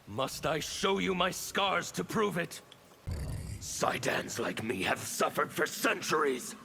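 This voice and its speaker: A man voicing a fanatical, defiant revolutionary warrior in a video game, with a gravelly, menacing, declamatory delivery. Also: on the high side, big pitch swings, takes it slowly, sharp tone, slight background noise, full character voice. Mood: menacing, bitter. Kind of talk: video game character dialogue.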